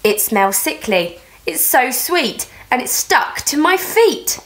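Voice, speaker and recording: A woman's voice: high voice, sing-song, takes it slowly, a bit bright, full character voice. A woman voicing a small, meek young male bee in a children's story, stammering a little. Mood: disgusted.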